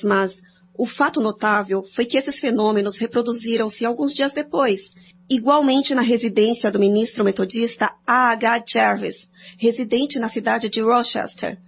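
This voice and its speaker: A woman with a Brazilian accent giving scripted narration and commentary in a radio drama. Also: on the high side. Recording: dull lo-fi audio with hum and noise.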